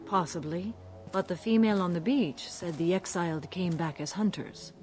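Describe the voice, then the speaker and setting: A woman as a composed, low-voiced squad analyst. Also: moderate pace, a little mellow, soft background music, lightly acted. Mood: analytical. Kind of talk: video game voice acting.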